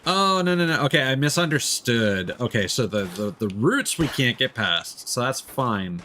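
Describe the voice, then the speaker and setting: A man's voice: medium pitch, sing-song, normal speed, pushed and bright, a little hiss or hum. A man doing casual live gameplay commentary as a streamer, musing and thinking aloud. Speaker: game streamer.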